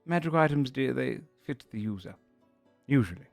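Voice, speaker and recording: A man's voice: medium pitch, expressive, brisk, creaky, dry recording, soft background music. A man voicing a dragon who keeps a treasure hoard, speaking in a genteel, playfully condescending way. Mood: patronizing.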